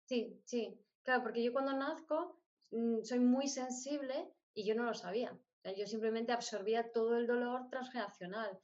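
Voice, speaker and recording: A woman's voice: on the high side, moderate pace, a little mellow. A middle-aged woman telling personal stories as an interview guest, in short phrases that she often repeats for emphasis. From born in Spain, grew up moving between countries. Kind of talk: personal storytelling in conversation. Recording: dull, lo-fi audio.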